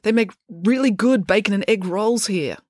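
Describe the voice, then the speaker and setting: A woman's voice: mid-range voice, moderate pace, a little mellow, dry recording, studio quality, pitched above the performer's own voice, lightly acted. A woman voicing an anxious, placating boy in a storybook, with a pleading manner. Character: Joe, anxious, placating, food-loving boy.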